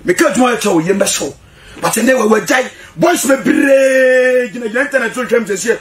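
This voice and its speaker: A young man with a Ghanaian accent talking informally and excitably as a studio guest into a microphone. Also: very high voice, moderate pace, raised voice, slight background noise. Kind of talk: animated informal studio talk in Pidgin and Twi.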